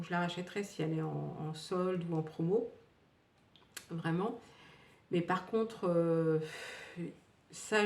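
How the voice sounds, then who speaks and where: A woman in her fifties reviewing used-up beauty products in a casual, rambling way, with many fillers and restarts. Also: on the low side. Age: 50s. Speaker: beauty vlogger. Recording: echoey room, distant from the mic.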